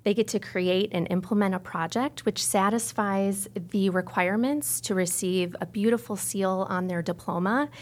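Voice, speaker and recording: A woman's voice: mid-range voice, moderate pace, few pauses. An American woman speaking as an interview guest, an articulate teacher explaining and promoting a school program. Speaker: arts teacher, podcast guest. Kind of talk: informational interview answers.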